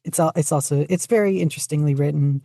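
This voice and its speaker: Interview guest, mellow warm tone, middling pitch, casual chat, hesitant, self-deprecating, close dry recording. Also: fast, gravelly, no echo. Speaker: podcast guest, fan fiction writer and podficcer.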